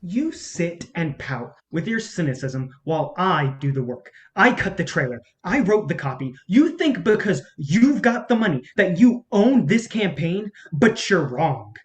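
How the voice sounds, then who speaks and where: A man plays a defiant film campaign worker in a staged reading. His voice sits a little high for a man, and his manner is blunt and insistent. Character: frustrated male campaign colleague, blunt and defiant.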